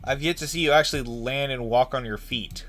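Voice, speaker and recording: A man's voice: medium pitch, brisk, fairly bright tone. A man streaming a game, giving casual, joking live reactions. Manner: joking, bantering.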